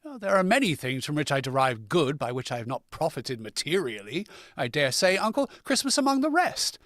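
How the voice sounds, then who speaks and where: An actor playing a kindhearted, genial young nephew with earnest theatrical delivery in a vintage radio drama. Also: male voice, on the high side, big pitch swings, brisk, fairly bright tone, no echo, clean studio sound. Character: cheerful, kindhearted gentleman, the miser's young nephew.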